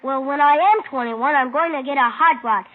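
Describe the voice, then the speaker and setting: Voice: high-pitched, normal speed, phone-quality sound, lightly acted. A performer playing an eager, boastful six-year-old boy with an earnest, childlike manner in an old radio drama. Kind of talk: 1950s radio drama acting. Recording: thin, band-limited old radio recording.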